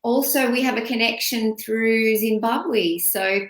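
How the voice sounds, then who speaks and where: A woman's voice: fairly high pitch, unhurried. A woman hosting an interview, asking encouraging questions with fillers and restarts. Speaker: interview host. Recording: roomy, echoey room sound.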